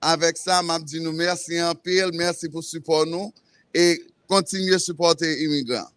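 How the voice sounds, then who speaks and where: A man with a Haitian accent, a native Creole speaker, giving halting, measured personal remarks at a press conference. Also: on the high side, fairly even intonation, fairly bright tone, a little hiss or hum. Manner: halting, measured, with self-corrections. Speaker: librarian, campaign participant. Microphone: event microphone.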